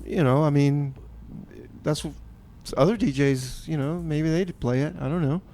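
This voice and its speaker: A man's voice: on the high side, measured pace, dark tone. A man hosting a casual radio show as DJ, bantering with an exasperated, chuckling manner. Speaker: radio DJ host.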